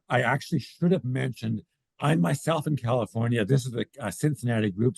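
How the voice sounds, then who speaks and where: A man's voice: mid-range voice, vocal fry, breathy edge, no room sound, low-quality recording. A man moderating an online meeting on a video call, hesitant, with stammered restarts.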